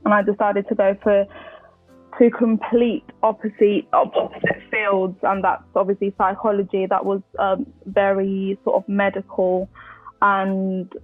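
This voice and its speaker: A woman's voice: medium pitch, little pitch movement, unhurried, no echo, soft background music. Woman in her twenties on a tinny line. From Colombia, raised in London. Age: 20s. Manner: chatty, many fillers and restarts. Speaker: podcast guest. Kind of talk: casual interview.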